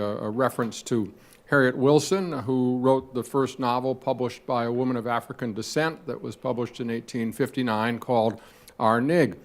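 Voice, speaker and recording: An older man speaking as a panelist in a scholarly, thoughtful way. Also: mid-range voice. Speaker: panelist, senator, lecturer. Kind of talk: panel talk.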